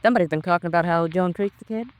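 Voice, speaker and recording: A woman's voice: fairly low pitch, rapid-fire, close to an everyday voice. An actress playing a nosy, gossipy, disapproving landlady in a 1950s radio crime drama, with folksy, chatty colloquial speech. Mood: confiding.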